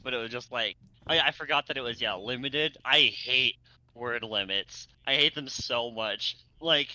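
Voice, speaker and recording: A man chatting casually and excitedly, rambling and stammering as he goes and often breaking into laughter. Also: mid-range voice, normal speed, dry recording, muffled recording. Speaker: co-host of a fan fiction readalong. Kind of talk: casual enthusiastic group chat.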